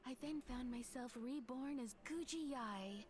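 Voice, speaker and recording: A woman's voice: high voice, unhurried, a little mellow, soft background music. An actress playing an earnest, deferential female scribe in a game trailer, formal and easily flustered. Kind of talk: game character trailer acting.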